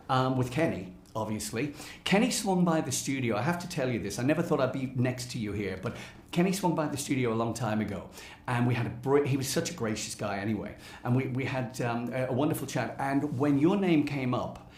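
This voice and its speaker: A man's voice: medium pitch, expressive, brisk, a little mellow, a little hiss or hum. British male interviewer in an echoing room. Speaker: radio interviewer. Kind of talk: conversational celebrity interview. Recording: roomy, echoing interview space.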